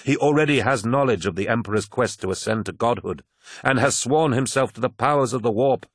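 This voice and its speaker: Guy doing a sneery sorcerer priest for an audiobook, sly n persuasive, slow insinuating delivery, no room sound. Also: mid-range voice, no echo, clean studio sound, lightly acted. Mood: zealous, tempting.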